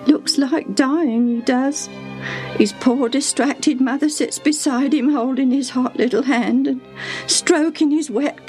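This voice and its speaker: A woman voicing a tearful, devoted elderly female servant who speaks high and quavering, close to sobs. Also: warm tone.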